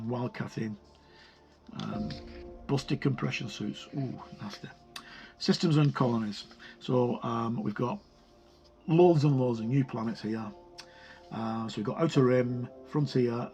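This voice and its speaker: Creaky-voiced man reviewing a book casually. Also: medium pitch, rough recording. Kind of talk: casual unscripted review commentary while leafing through a book. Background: music.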